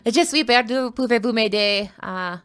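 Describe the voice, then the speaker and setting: A woman's voice: fairly high pitch, moderate pace, fairly bright tone, clear, resonant tone. A woman from the United States giving casual, playful live commentary on a card tournament, chatting and rambling into asides.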